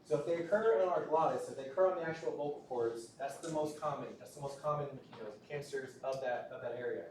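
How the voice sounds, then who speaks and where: A man's voice: fairly high pitch, fast talker. Man lecturing from a distance in an echoey room. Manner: conversational, repeats words, frequent "right?" checks. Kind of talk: recorded classroom lecture. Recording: roomy, distant lecture-hall recording with faint noise.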